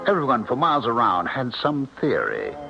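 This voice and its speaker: An actor playing a concerned small-town doctor, measured and probing, who narrates a 1970s radio mystery. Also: male voice, mid-range voice, huge pitch swings, creaky. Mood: somber narration. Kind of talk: dramatic first-person narration and dialogue, 1970s radio. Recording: dull 1970s radio recording. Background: music.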